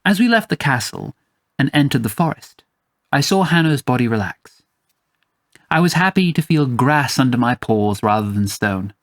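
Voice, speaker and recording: A male storyteller reads a scripted fantasy tale in the first person. His pitch sits in the middle range for a man. The recording is dry and free of room echo. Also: lively intonation, normal speed. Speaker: storyteller, narrator.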